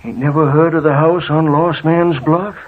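Husky, folksy, superstitious American townsman. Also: a little high, steady tone, moderate pace. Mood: fearful, stubborn. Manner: folksy, ominous storytelling. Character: superstitious, fearful small-town local man, folksy and stubborn. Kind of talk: 1940s radio drama acting. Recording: tinny, muffled old radio recording.

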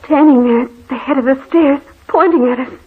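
An actress playing a frightened, urgent young wife, dramatic and anguished, in 1940s radio drama style. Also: female voice, high voice, a little fast, natural voice. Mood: panicked. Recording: tinny old radio recording.

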